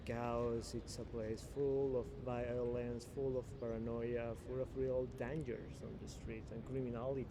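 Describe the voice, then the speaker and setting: A man's voice: medium pitch, little pitch movement, a little slow, hardly any pauses, background noise. A man from Venezuela in his thirties with a Venezuelan Spanish accent, speaking English as a second language. He talks reflectively in a casual interview, laughs often and sometimes searches for the right English word. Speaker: guest.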